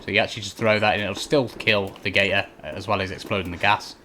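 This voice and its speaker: A man's voice: fairly low pitch, steady tone, quick pace, sharp tone, a little hiss or hum. A man giving a casual walkthrough commentary as he plays a video game. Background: game sounds.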